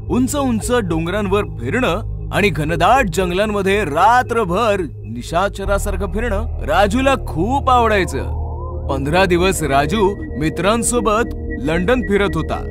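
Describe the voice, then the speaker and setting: A man narrating a scripted horror story as a storyteller. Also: sits high. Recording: music behind the voice.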